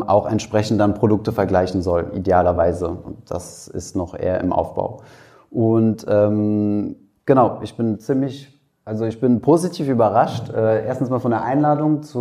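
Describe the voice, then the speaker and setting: A man's voice: on the low side, dark tone. A young man introducing himself on a school panel, talking casually and hesitantly with many fillers. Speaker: panelist, finance YouTuber.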